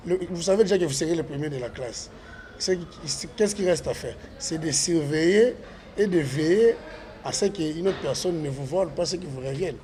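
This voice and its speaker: A man's voice: a little high, expressive, normal speed, mellow, slight background noise. Earnest man making a campaign appeal. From Congo. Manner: earnest, repetitive, rallying tone. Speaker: parliamentary candidate. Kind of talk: interview answers, campaign appeal.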